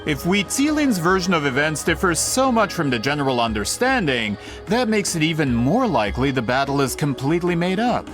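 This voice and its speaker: An actor playing an impatient, skeptical gentleman publisher in a video game, formal and pompous. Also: a little high, very animated, fairly quick, hardly any pauses, music in the background. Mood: exasperated.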